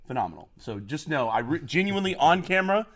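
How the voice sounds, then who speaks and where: A man's voice: a little high, sing-song, fast. A man from Oklahoma, a guest on a podcast, telling his life story with enthusiasm and plenty of fillers. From Oklahoma, USA. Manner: enthusiastic, effusive, many fillers. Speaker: podcast guest, college program lead. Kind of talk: podcast interview, personal storytelling.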